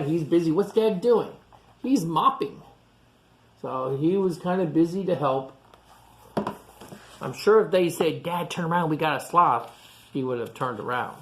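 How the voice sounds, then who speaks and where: Echoey storytime man reading to children. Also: fairly high pitch. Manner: child-directed, simple explanatory asides and questions. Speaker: storytime reader for young children. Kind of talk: read-aloud picture book with asides to children. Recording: echoey room sound.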